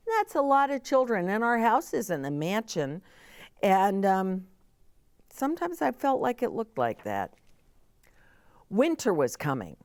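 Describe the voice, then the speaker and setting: A middle-aged woman reads aloud for young children at storytime. Her pitch swings expressively and her pace is slow. She adds simple, chatty explanations, and the sound is close and dry. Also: wildly swooping pitch, a little mellow, no room sound.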